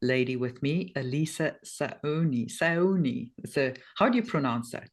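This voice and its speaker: A woman's voice: rumbling low, expressive, unhurried. South African woman hosting an interview. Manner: hesitant, many fillers. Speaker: podcast host. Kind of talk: casual interview chat.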